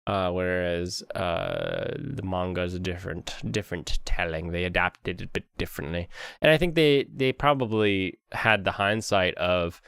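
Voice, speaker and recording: A man hosting an anime review stream, chatting casually and rambling, with a jokey, animated manner. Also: deep voice, moderate pace, vocal fry, clear, resonant tone, no echo, clean studio sound.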